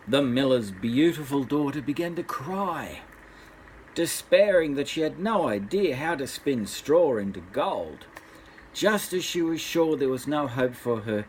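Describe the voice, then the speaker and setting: An older man reads a bedtime story as a grandfather would to children. His delivery is unhurried and expressive, with a mid-range voice that rises and falls widely. There is faint noise in the recording. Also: medium pitch, low-quality recording.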